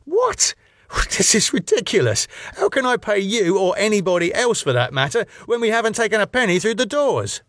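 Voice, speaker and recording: A man voicing an exasperated young man who protests loudly against being cheated. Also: medium pitch, very animated, a little slow, hardly any pauses, slightly rough, no room sound, clean studio sound. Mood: angry. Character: young male gig promoter, exasperated and angry.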